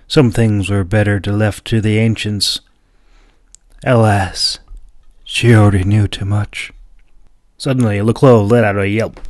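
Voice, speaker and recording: A man's voice: on the low side, a little mellow, dry recording, studio quality. A man reading a fantasy audiobook as narrator, with dramatic storytelling delivery.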